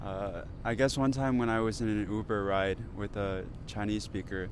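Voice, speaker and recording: A man's voice: fairly low pitch, fairly even intonation, normal speed, background noise. A young man, a pharmacy student, chatting casually on a panel with lots of hesitant fillers. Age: young adult.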